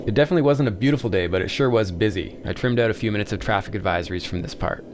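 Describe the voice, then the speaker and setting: A man's voice: fairly low pitch, very fast, noisy background. A man hosting a video, narrating in voice-over directly to viewers. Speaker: video host.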